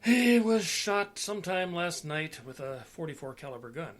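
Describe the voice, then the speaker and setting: A man's voice: a little high, very animated, normal speed. A man playing a folksy, blustery, cagey police detective in an old-time style radio play. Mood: folksy, guarded.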